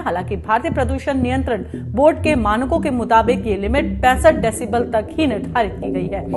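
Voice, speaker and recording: A woman presenting explanatory news to viewers in an emphatic way, often circling back over her points. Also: a little high. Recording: music bed under the voice. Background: background music.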